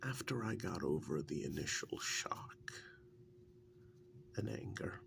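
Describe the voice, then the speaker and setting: Guy playing wry affectionate boyfriend in an asmr roleplay, super gravelly low soft voice, slow and musing. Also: expressive, full character voice. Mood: wry, reflective. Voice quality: gravelly, breathy, often dropping to a whisper. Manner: halting, musing, unhurried. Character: wry, affectionate kidnap victim fondly reminiscing to partner. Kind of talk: soft-spoken intimate ASMR role-play.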